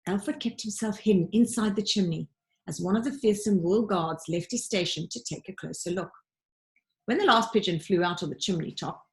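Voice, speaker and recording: A woman narrating a children's storybook like a teacher reading aloud, with dramatic, deliberate emphasis. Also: medium pitch. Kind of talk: expressive children's audiobook narration.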